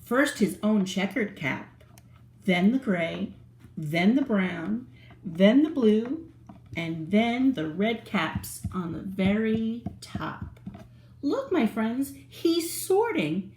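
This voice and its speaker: A woman reading a picture book aloud to young children, animated and asking them questions. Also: medium pitch, slow pace, a little hiss or hum. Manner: animated, asks the listener questions. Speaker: children's storyteller. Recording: roomy echo.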